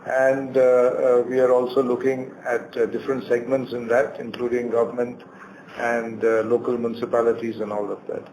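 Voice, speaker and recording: Indian bank exec guy answering on a conference call, middling pitch, slight hiss. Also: flat delivery, normal speed, darkish tone. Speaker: bank executive. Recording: faintly noisy conference call.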